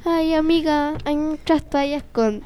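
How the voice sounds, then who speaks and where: A woman's voice: sits high, a little slow, mellow. A woman acting in an improvised radio play as a jittery young woman in a haunted house. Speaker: student actor in an improvisation exercise.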